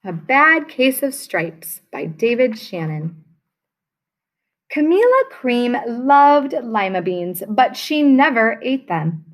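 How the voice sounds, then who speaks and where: A woman teacher reading a children's picture book aloud to her class, telling it with expression. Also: on the high side. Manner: slow, expressive storytelling.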